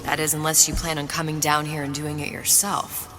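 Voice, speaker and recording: A woman voicing a dry, sharp-witted heroine. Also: low-pitched, level tone, fairly quick, over music, close to an everyday voice. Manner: dry, cutting; hushed whisper when musing.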